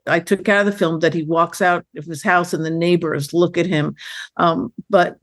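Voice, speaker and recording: A woman filmmaker being interviewed, telling stories about her work in a lively, chatty way with many fillers and restarts. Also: fairly low pitch. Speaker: filmmaker guest. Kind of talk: conversational interview.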